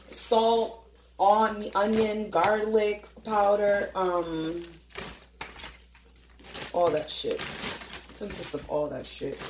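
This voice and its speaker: A woman talks her way through a late-night cooking session in her home kitchen, joking and swearing casually as she works. She sounds tired but chatty. The room is echoey, with clear background noise under her voice. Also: medium pitch. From Haitian family. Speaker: home cook vlogger. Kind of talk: casual cooking vlog chat.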